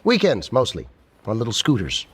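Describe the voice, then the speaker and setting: An actor playing a gentle, earnest, eccentric male scientist in a radio comedy, husky and sincerely matter-of-fact about absurd things. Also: mid-range voice, wildly swooping pitch, moderate pace, exaggerated voice. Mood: serene, matter-of-fact. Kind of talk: radio comedy drama acting.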